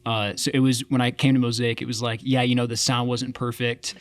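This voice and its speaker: A man's voice: mid-range voice, little pitch movement, fairly quick. A man who plays keys in a worship band, speaking thoughtfully and hesitantly, with many fillers. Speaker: worship band keyboard player. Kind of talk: casual interview chat.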